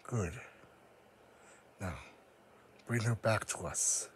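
A man's voice: fairly low pitch, drawn-out words, slight background noise. A man voicing a formal young male hero who gives clipped, urgent orders in a scripted audio roleplay. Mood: urgent, commanding.

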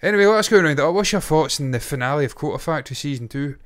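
A man's voice: on the high side, animated, very fast. A middle-aged Scottish man chatting casually as co-host of a review show, blunt and sweary, close on a microphone. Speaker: YouTube reaction show co-host. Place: small indoor home studio. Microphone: close microphone on boom arm.

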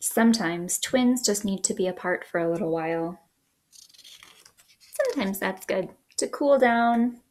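A woman in her thirties hosting a children's story time, reading aloud in an expressive, sing-song way at home. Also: medium pitch, measured pace, a little hiss or hum. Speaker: children's librarian, storytime host. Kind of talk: picture book read-aloud for young children. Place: small living room indoors.

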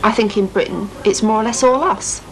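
A middle-aged woman with a Lancashire accent, speaking in a measured, thoughtful way in an interview. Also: medium pitch, a little hiss or hum, rough recording. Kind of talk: reflective interview talk. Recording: 1980s TV film with music behind.

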